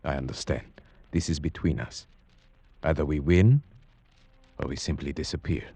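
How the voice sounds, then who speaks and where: A man acting an earnest, haunted narrator-hero in a vintage radio suspense drama, with measured, grave, theatrical delivery. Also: a bass voice, warm tone, breathy edge, dry recording, heavily acted. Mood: uneasy, resolute. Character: earnest male narrator-hero haunted by dread, foreign gentleman.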